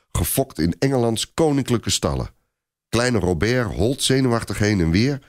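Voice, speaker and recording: A male audiobook narrator with a fairly low voice reads a scripted tale dramatically. His pitch rises and falls widely, giving the narration an animated shape. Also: no room sound, clean studio sound.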